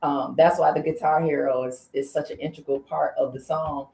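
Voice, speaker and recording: A singer from Illinois, a woman, talking as an interview guest in an animated, chatty way. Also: sits low, normal speed, gravelly. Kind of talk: casual interview. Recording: roomy studio sound.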